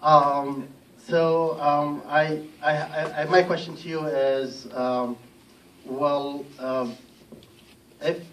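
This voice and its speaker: A man's voice: fairly high pitch, flat delivery, extremely slow. Hesitant man from Iraq, off-mic. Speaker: audience member asking a question. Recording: distant mic with faint noise.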